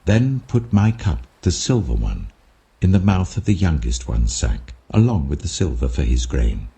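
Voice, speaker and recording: A man voicing a stern, authoritative governor with measured delivery in a dramatic scripture reading. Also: extremely low pitch, sing-song, warm tone, full character voice. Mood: commanding. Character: commanding, stern male governor of ancient Egypt.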